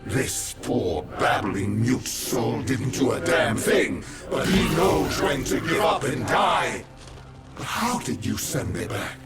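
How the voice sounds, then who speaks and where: A man's voice: mid-range voice, sing-song, takes it slowly, raised voice, loud music behind the voice. An actor voicing a wrathful male demigod tyrant in a video game, theatrical and menacing. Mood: enraged contempt. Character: wrathful male demigod, tyrant villain bent on destroying humanity. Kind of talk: video game cutscene acting.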